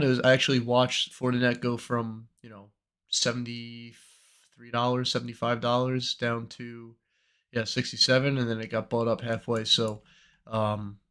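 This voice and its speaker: A young man with a cold, slightly hoarse, chatting in a relaxed, rambling way as host of a trading livestream. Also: mid-range voice. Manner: relaxed, rambling, many fillers. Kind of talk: casual livestream chat.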